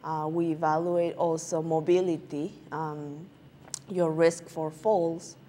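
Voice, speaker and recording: A woman from Peru, answering interview questions as a guest physician in a halting way with many filler sounds. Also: deep voice, little pitch movement, mellow, slight background noise.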